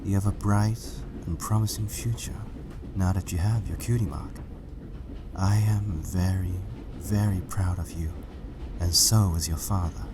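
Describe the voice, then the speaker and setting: A man voicing a gentle, reassuring pegasus mare, a proud mother, in an earnest storybook reading. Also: low voice, steady tone, unhurried, background noise. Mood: tender, reassuring. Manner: soothing, earnest, encouraging.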